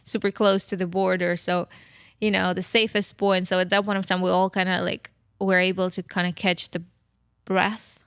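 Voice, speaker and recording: A woman with a Ukrainian accent shares a personal story in conversation. Her voice is smooth and clear, recorded dry. She talks casually, pausing and repeating herself as she looks for words. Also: on the low side, level tone, no echo. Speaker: podcast host telling own story.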